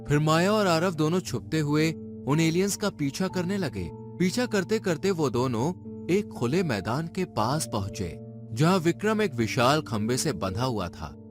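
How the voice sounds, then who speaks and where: Male narrator for dramatic horror storytelling, very animated pitch, music underneath. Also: unhurried. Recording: music behind the voice.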